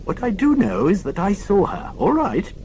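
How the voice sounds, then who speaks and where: A woman's voice: medium pitch, wildly swooping pitch, moderate pace, dark tone, a little hiss or hum, voice pushed up. A man reading an audiobook, voicing a deaf former head nurse in her eighties who talks in a precise, lecturing way. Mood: pedantic. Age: 80s.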